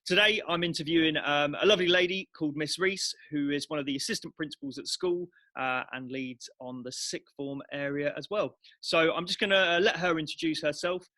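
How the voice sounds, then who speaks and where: A man's voice: on the high side, fairly quick, hardly any pauses, sharp tone. A man hosting a school staff podcast, asking friendly, teasing questions. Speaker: podcast host interviewing staff. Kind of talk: casual interview hosting.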